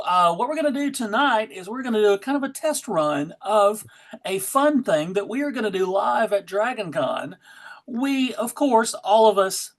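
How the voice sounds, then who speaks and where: Casual American male livestream host, jokey and playfully over the top, video-call setting. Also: high voice. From Alabama, United States.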